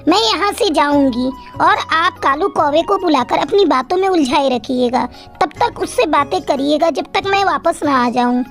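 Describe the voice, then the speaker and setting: A woman's voice: sits high, expressive, normal speed, few pauses, faint music behind. A woman playing an eager, cheeky young daughter-in-law sparrow in a children's cartoon, respectful and coaxing. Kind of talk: Hindi children's cartoon acting.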